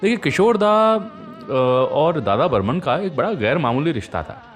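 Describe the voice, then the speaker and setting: Animated male radio host telling stories. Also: fairly high pitch, moderate pace, faint music behind. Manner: chatty, anecdotal, addressing listeners directly. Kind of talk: conversational radio storytelling.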